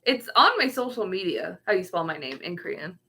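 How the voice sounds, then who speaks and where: A woman's voice: lowish voice, big pitch swings, brisk, vocal fry, pushed and bright. Rambling young woman chatting on stream. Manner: rambling, trails off searching for words. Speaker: streamer reading chat. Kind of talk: casual livestream chat.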